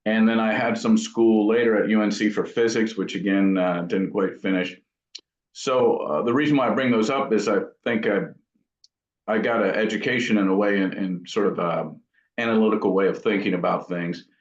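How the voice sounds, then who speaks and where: Low-voiced middle-aged man in a roomy space. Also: normal speed. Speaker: guitar amp builder, interview guest. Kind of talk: casual interview answers, reminiscing. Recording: roomy, dull lo-fi sound.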